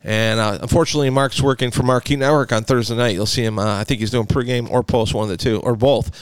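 Man, 40s, podcast host, rambling casual talk, smooth voice, dry sound. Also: medium pitch, steady tone, fast talker, no echo. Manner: rambling, lots of fillers and restarts.

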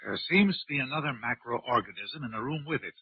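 An actor playing an earnest alien officer with a formal manner in a 1950s radio drama. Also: male voice, medium pitch, animated, a little fast, breathy edge, dry recording. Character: earnest alien officer, willing to challenge his commander. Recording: muffled, hissy 1950s radio recording.

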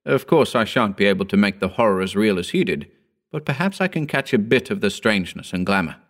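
A man voicing a vain, scornful, excitable male horror writer in a pompous, declamatory audiobook style. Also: on the low side, a little fast. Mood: eager. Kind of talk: dramatic audiobook character reading.

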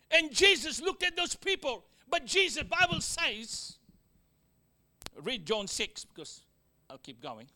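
A man with a Fijian accent delivers a sermon in a very high, piercingly bright voice. He punctuates his points with amens and call-outs to the congregation. He drops in joking asides and swings from loud declarations to near-murmurs. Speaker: preacher.

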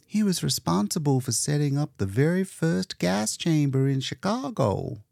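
A man's voice: a little high, big pitch swings, normal speed, gravelly, a little mellow, dry recording, clean studio sound, voice pushed up. A man voicing a demure, humble, earnest woman in a storybook reading, shy and folksy in manner. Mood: admiring, demure. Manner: shy, plain-spoken, folksy.

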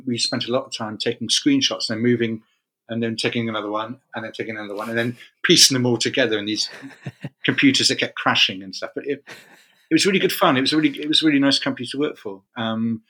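Middle-aged male interview guest from the UK; bright, rambling, self-correcting delivery. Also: medium pitch, low-quality recording. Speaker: guest, games magazine editor. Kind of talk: casual interview chat.

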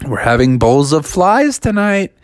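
A young cartoonish frog boy voiced by a man squeals for help in a raised, strained tone. He talks big and fast, jumping all over his pitch. The sound is close and dry. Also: big pitch swings, dry recording. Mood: cheerful. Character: whiny frog boy, easygoing and greedy.

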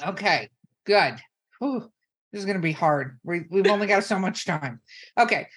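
A woman's voice: medium pitch, animated, moderate pace, breathy edge. A woman with a mid-range voice hosts a casual podcast. She runs her guests through questions with bossy teasing and salty language. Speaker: podcast host. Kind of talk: casual chat, rapid-fire questions to guests.

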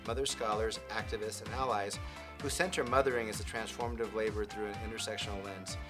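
Earnest man hosting a podcast over music. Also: mid-range voice. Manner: earnest, with hesitant fillers. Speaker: podcast host. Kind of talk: formal introduction, then chat.

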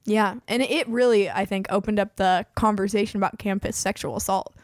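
A woman's voice: mid-range voice, steady tone, brisk, dry recording, studio quality. Chatty young woman losing her voice. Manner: chatty, peppers speech with "like". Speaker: podcast host. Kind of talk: casual true-crime podcast chat.

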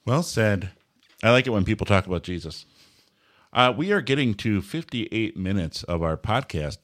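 A man hosting a casual religious podcast, talking in a halting way with many fillers and restarts. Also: fairly low pitch, sing-song, a bit bright. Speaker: podcast host.

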